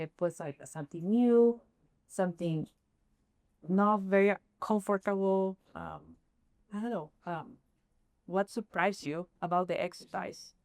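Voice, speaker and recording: A woman from Chile with a Chilean Spanish accent, leading a workshop session and often pausing to search for words. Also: on the low side, a little mellow, no echo, clean studio sound. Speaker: workshop presenter.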